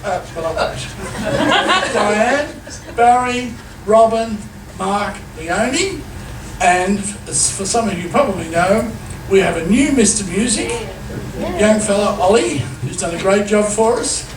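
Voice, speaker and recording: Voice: mid-range voice, expressive, slow. An announcer casually introducing the cast at a live show. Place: live performance in a lodge hall. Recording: echoey hall, distant mic, loud noise.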